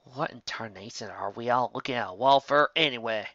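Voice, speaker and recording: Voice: medium pitch, brisk, fairly bright tone, dry recording, dull audio, close to an everyday voice. A narrator voicing a plainspoken female country farm pony, a proud big sister with a folksy, emphatic manner. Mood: exasperated.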